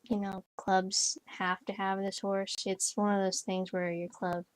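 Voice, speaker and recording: A woman's voice: mid-range voice, flat delivery, a little slow. A young woman chatting casually while playing a horse game, rambling with lots of fillers and restarts. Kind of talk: casual gameplay chat. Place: bedroom, on a bed.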